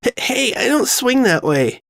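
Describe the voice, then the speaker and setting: A man's voice: extremely high pitch, sing-song, measured pace, vocal fry, a bit bright, no echo, voice pushed up, full character voice. A man voicing a shy, awkward young woman with a hesitant, bashful way of talking in a story reading. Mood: embarrassed.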